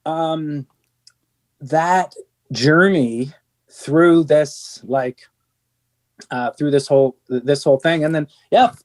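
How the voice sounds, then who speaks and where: Middle-aged guy rambling earnestly about his life, kinda high voice, lots of um and like. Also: slow, long pauses. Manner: earnest, rambling with restarts and fillers. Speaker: band singer. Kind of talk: candid interview conversation.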